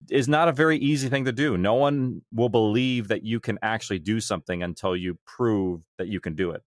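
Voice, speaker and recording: A man's voice: lowish voice, dry recording, clean studio sound. A man around his thirties or forties, explaining his creative work as a guest in an interview. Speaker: podcast guest, audio drama creator. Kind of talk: interview conversation, explanatory storytelling.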